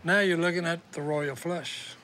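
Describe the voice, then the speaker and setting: Older guy, high pitched, rambling storyteller, music going behind him. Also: steady tone, normal speed. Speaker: reality show cast member. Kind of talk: casual banter.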